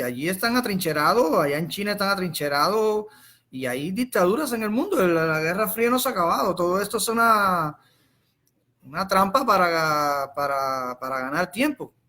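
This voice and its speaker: Cuban man, high voice, forceful bright tone, informal live political commentary, halting, repeated words, rhetorical questions. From Cuba. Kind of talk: informal explanatory monologue.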